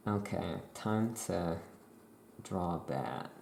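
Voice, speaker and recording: Very slow, low, creaky soft-spoken ASMR voice. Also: expressive, a little hiss or hum. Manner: unhurried, gentle, hushed. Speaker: ASMR roleplayer as a witch shopkeeper.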